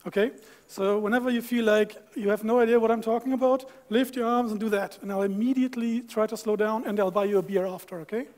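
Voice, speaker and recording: A man's voice: sits high, fairly even intonation, darkish tone. A man in his thirties with a German accent, giving a friendly, joking tech talk on stage in a large auditorium, with a headset mic. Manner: friendly, joking, fast-talking with fillers. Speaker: conference speaker.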